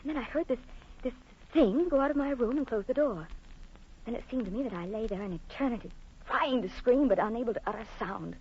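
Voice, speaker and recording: A woman's voice: on the high side, brisk, a little mellow, slightly rough. An actress playing a frightened, anguished wife in old-time radio horror, breathless and faltering in her delivery. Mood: shaken. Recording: noisy, dull old-time radio broadcast.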